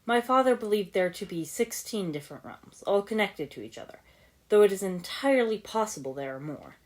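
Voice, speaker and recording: A woman voicing a stern, calm old male martial arts master with dry, formal delivery in a story reading. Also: mid-range voice, brisk, pitched above the performer's own voice, natural voice. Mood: terse, explanatory.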